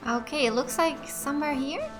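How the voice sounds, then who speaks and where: A woman's voice: high-pitched, expressive, moderate pace. A woman giving casual let's play commentary over game music. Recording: music behind the voice.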